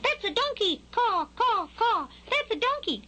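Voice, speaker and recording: A woman's voice: extremely high pitch, sing-song, a little slow, pushed and bright, dull audio, voice pushed up, exaggerated voice. A woman voicing a brash, bossy crow in a storybook, teasing in a cawing, sing-song way. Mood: excited.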